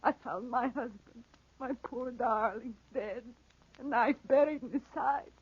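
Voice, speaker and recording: A woman's voice: a little high, a little slow, husky. A woman playing a distraught village widow, pleading urgently and melodramatically in a 1940s radio drama. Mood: anguished. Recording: muffled 1940s radio recording.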